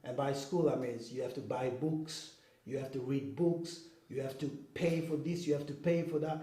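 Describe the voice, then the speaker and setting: A man's voice: medium pitch, fairly even intonation, normal speed, warm tone. A man from Congo, giving an earnest interview and often checking that the listener follows. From Congo (Kinshasa). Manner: earnest, checks in with "okay?" and "you know what I mean?". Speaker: interview guest. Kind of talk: explanatory interview talk. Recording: roomy echo.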